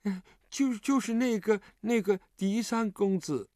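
一名男子在有声故事中扮演一个倔强、胆怯又委屈的丧亲小男孩，说话吞吞吐吐。. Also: male voice, high-pitched, expressive, no echo, clean studio sound, pitched above the performer's own voice. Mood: timid, halting. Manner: halting, stammering, plaintive. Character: stubborn, grieving orphan little boy, sulky and timid.

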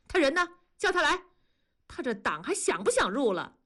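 A woman's voice: high-pitched, very animated, normal speed, a bit bright, pitched above the performer's own voice, full character voice. Woman voicing a stern fatherly factory master. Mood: scolding. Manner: lecturing, exasperated.

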